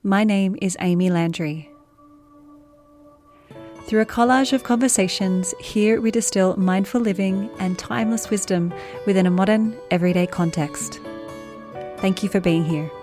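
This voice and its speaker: A woman's voice: medium pitch, normal speed, soft background music. Effusive female podcast host. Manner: warm, gushing, repeats for emphasis. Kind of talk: scripted intro and interview.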